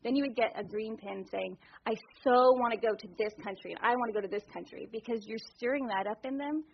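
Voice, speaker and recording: A woman's voice: a little high, fast talker, vocal fry, slight background noise. A woman at a committee meeting, laying out her ideas with enthusiasm and many fillers, recorded in a meeting room. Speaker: committee member. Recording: dull lo-fi recording.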